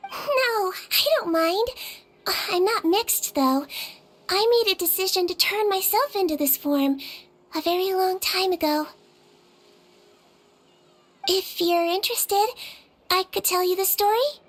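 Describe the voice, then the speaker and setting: A woman voicing a small, gentle young girl nurse of a fairy-like species, polite and composed, in anime video game dialogue. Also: extremely high pitch, a little slow, pauses often. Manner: composed, courteous, matter-of-fact. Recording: music bed behind the voice.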